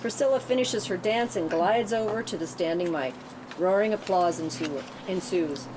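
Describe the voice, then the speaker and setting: A woman reading scripted stage directions as narrator at a table read. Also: normal speed. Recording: noisy room, rumbling ambience.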